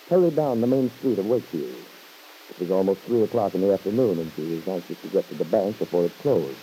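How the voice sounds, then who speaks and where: A man's voice: fairly low pitch, mellow. A man narrating an old-time radio drama as a scripted storyteller. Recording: muffled hissy old radio recording.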